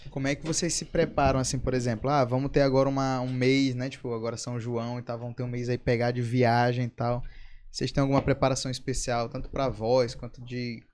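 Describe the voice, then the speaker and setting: A man's voice: mid-range voice, flat delivery, quick pace, darkish tone, a little hiss or hum. A man interviewing the singers, talking in a laid-back, slangy way and telling his own stories. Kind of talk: casual interview chat.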